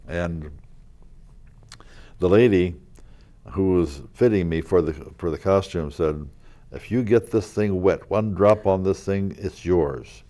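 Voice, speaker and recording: An older man, a local historical reenactor, telling rambling stories in a casual interview, with a loud voice and many fillers and restarts. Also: low voice, takes it slowly. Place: community TV studio.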